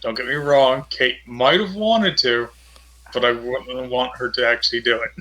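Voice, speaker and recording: A male tabletop player talks casually in character. His pitch is middling and his tone is bright and sharp, with a forceful edge. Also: moderate pace, poor audio. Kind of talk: in-character casual game chat.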